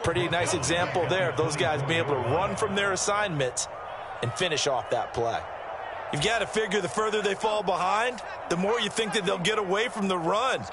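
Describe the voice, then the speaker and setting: A man's voice: high-pitched, brisk, very noisy, close to an everyday voice. A man playing an opinionated football analyst in a video game, explaining things in a conversational broadcast style. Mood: analytical. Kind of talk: sports analysis commentary. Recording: music behind the voice.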